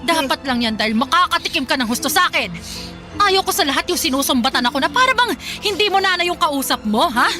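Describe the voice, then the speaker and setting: Casting: abusive, scolding Filipino mother for radio drama; very high, harsh voice, music swelling behind. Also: expressive, fast. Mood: menacing, angry. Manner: harsh, threatening, taunting shouts. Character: cruel, hot-tempered, scolding mother who beats her son. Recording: loud dramatic music underneath.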